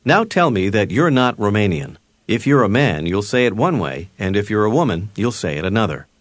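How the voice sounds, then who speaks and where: Male lesson narrator, low and animated, dry booth. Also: brisk, no room sound. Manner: clear prompting teacher. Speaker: language course narrator. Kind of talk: scripted instruction.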